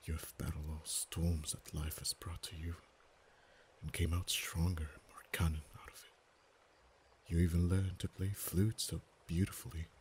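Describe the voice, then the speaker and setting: A man voicing a proud, haughty male dragon in an ASMR romance roleplay, speaking softly with whispered and stammering stretches. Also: deep voice, level tone, a little hiss or hum. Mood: tender. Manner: soft-spoken, partly whispered, halting stammers.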